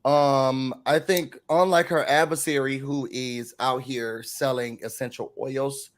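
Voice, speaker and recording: A slightly hoarse man hosting a casual TV recap chat, telling the story animatedly and acting out quotes. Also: mid-range voice, steady tone, a little slow. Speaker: recap show host.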